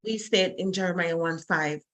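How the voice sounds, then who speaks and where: A woman's voice: fairly low pitch, normal speed, fairly bright tone. A woman hosting a faith-based interview show, talking fervently and repetitively to exhort her viewers. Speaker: host.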